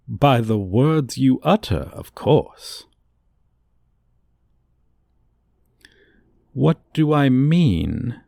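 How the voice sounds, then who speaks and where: A man plays a condescending dragon professor with a lowish voice that rises and falls dramatically. He speaks very slowly, in pompous, old-fashioned formal phrases, as in an ASMR fantasy roleplay. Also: gravelly, mellow, dry recording, studio quality, heavily acted. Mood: condescending, amused. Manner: pompous, archaic, formal diction. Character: haughty dragon professor, condescending, lecturing a human student.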